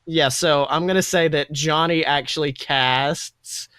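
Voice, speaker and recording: A man's voice: on the high side, fairly even intonation, measured pace, pushed and bright, clear, resonant tone, no room sound. A young man casually narrating a tabletop game as game master, playful, exclamatory and sweary. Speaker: game master and podcast host.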